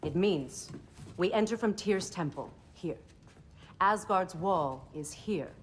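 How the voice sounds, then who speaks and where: A woman's voice: fairly low pitch, expressive, slow pace, slight background noise. A woman playing a commanding goddess and war leader in a dramatic video game, firm and purposeful. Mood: grave, commanding. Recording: music under the voice.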